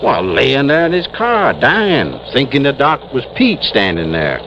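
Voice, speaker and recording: An actor playing a folksy, self-assured small-town police chief, rambling in 1950s radio style. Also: male voice, mid-range voice, very animated, a little slow, background noise. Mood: earnest recounting. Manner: rustic, rambling, colloquial. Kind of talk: 1950s radio drama acting. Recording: dull old radio recording.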